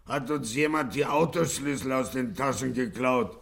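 An actor as a gravelly, blustering gangster boss. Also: male voice, mid-range voice, moderate pace, lightly acted. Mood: gruff, defensive. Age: middle-aged. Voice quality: gravelly, hard-edged. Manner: blustering, demanding, gruff. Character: stubborn 55-year-old male gangster boss, blustering in jail. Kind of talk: 1950s German radio play acting.

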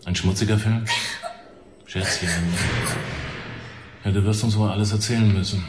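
An actor playing a patient, patronising police detective in a radio drama, measured and coaxing. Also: male voice, lowish voice, steady tone, loud music behind the voice, close to an everyday voice. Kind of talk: German radio drama acting. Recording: roomy, echoing interrogation scene.

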